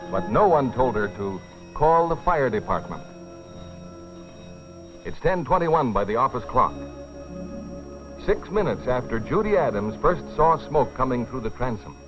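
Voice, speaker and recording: Grave male narrator with dramatically varied delivery. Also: medium pitch. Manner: grave, deliberate storytelling with dramatic pauses. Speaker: narrator of a dramatized training film. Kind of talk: dramatic scripted documentary narration. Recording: dull old film soundtrack, music behind. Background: dramatic music.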